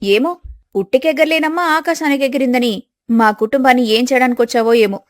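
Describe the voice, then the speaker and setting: A woman's voice: sits high, brisk, no room sound, studio quality, close to an everyday voice. A woman voicing a haughty, suspicious elder daughter-in-law with an accusing, sarcastic manner in a village family story. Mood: sarcastic, hostile.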